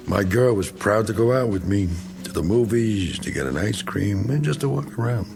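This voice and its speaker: An actor playing a bitter, self-pitying middle-aged American war veteran in a radio drama, talking in a folksy, rambling, needling way. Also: male voice, lowish voice, animated, normal speed, vocal fry, over music. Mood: nostalgic, self-pitying.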